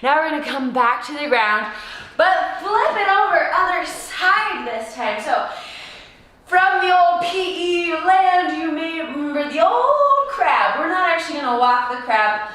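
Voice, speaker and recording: A young woman with a raspy, worn-out voice coaches a home workout, bubbly and given to little laughs. Her voice sits high, and she talks at an easy, unhurried pace. She sounds far from the mic in a strongly echoing room. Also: expressive, a bit bright. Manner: bubbly and giggly, coaching with playful asides. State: raspy, hoarse voice. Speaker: fitness coach. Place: apartment room. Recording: echoey room, distant from mic.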